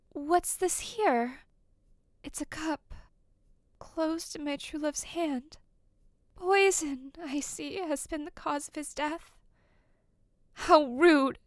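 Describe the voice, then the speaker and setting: A woman's voice: high-pitched, fairly bright tone, no echo, clean studio sound. A woman playing a lovestruck, grieving young bride in an audio drama, tender and theatrical, with a stubborn streak. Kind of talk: audio drama acting of modernized Shakespeare.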